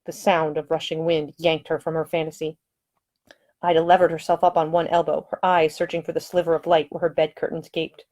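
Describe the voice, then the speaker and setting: A woman reading her own romance novel as scripted audiobook narration, with expressive, dramatic storytelling. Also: low voice, a little fast.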